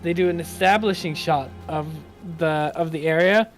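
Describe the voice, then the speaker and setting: A Swedish-accented man with a notably high voice talks loosely on a podcast. He recounts things in a chatty way and laughs now and then. Quiet music sits faintly behind him. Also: moderate pace. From Sweden. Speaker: guest game developer. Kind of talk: casual podcast chat.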